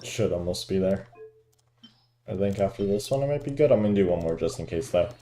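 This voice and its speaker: Male gaming streamer, low and very dark-toned, casual thinking-aloud commentary over game music. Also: animated, brisk.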